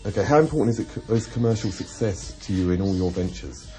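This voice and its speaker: A male interviewer speaks quickly in a low voice with a dark tone. He asks pointed, challenging questions. Faint music sits behind him. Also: lively intonation, low-quality recording.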